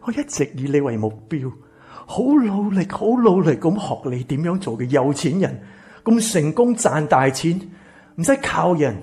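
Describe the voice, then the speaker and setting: An actor playing a wounded, embittered rich man in a Cantonese radio drama, with a Hong Kong accent and a breathy, husky voice. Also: fairly high pitch, sing-song, normal speed, darkish tone, exaggerated voice. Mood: hurt, desperate. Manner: intense, dramatic. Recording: music behind the voice.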